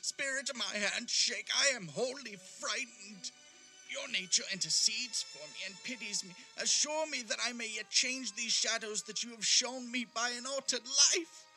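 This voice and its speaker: An actor playing a frightened, repentant old miser in an audio play, halting and imploring as he repeats himself. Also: very high voice, pushed and bright, faint music behind, exaggerated voice. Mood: desperate pleading. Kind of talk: theatrical radio-play acting.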